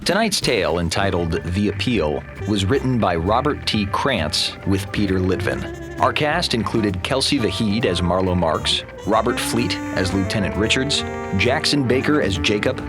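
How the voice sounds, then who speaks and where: Male announcer for a mystery radio show: deep, scripted sign-off, prominent music bed. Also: lively intonation, normal speed. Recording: voice over loud music.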